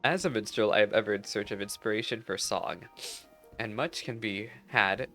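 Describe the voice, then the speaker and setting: A man's voice: fairly low pitch, normal speed, pushed and bright. A man reading aloud the lines of a courteous fantasy game minstrel in formal, archaic phrasing. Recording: music behind the voice.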